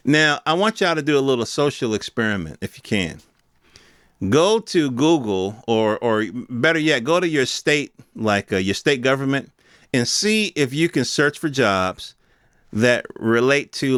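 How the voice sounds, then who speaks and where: A man's voice: medium pitch, expressive, measured pace, fairly bright tone, smooth, dry recording, clean studio sound. An American man hosting a podcast, giving unscripted news commentary in an emphatic, colloquial, repetitive way with rhetorical questions. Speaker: podcast host.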